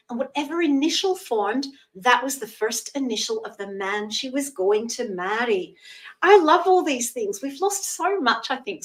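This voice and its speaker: Scottish woman in her sixties, tarot reader, higher voice, soothing and chatty, a bit away from the mic. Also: distant mic, poor audio. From Scotland. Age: 60s. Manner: gentle, reassuring and chatty, with terms of endearment. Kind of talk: live-streamed tarot reading.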